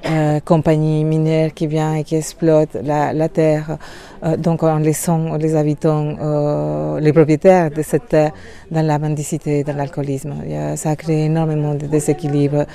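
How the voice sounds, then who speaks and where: Low, mellow woman. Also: monotone, gravelly. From Colombia. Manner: hesitant, many pauses and fillers. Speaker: guest. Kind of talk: interview conversation.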